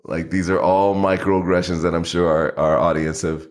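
A man's voice: low voice, flat delivery, normal speed. A man co-hosting a podcast, chatting casually and telling stories with frequent restarts. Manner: storytelling, frequent restarts and fillers. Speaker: podcast co-host. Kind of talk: casual chat.

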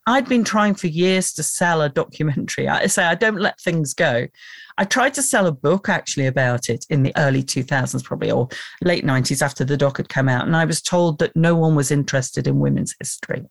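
A woman, a documentary producer and presenter, telling animated stories in an interview and laughing often. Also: low-pitched, fairly quick, gravelly, no room sound. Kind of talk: conversational interview storytelling.

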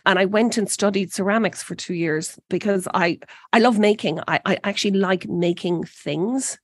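A woman's voice: mid-range voice, moderate pace, no echo. A woman in her forties with an Irish accent, the guest in an interview, talking with enthusiasm and often restarting her sentences. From Ireland. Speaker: energy company president, guest. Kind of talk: interview conversation.

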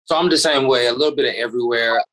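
Emphatic young man, mid-pitched voice. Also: very fast, a bit bright, poor audio. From Atlanta, Georgia. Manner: emphatic, laughing. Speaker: podcast guest. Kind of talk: casual chat.

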